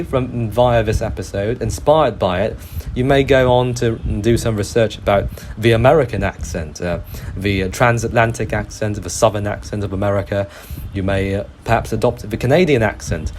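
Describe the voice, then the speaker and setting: Low-voiced man with adopted British accent. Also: darkish tone, a little hiss or hum. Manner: formal, ornate, hesitant phrasing. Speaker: host of an educational episode on English. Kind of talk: semi-scripted monologue lecture.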